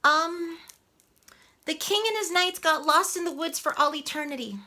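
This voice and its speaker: A woman playing a sweet, excitable young mermaid in a storytime performance, eager, playful and gushing. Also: extremely high pitch, normal speed. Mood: excited. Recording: clear ambient noise behind voice.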